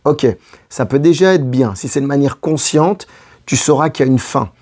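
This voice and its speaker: A hoarse man working as a love coach, speaking straight to the viewer in a casual advice monologue and repeating his key phrases. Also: mid-range voice, moderate pace, darkish tone. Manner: addresses the listener directly, repeating key phrases.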